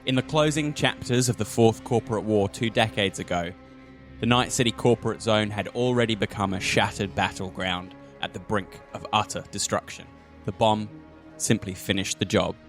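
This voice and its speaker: A man with a fairly low voice acts as game master for a tabletop roleplaying game. He describes scenes like a storyteller. Music sits underneath his voice. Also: steady tone, moderate pace.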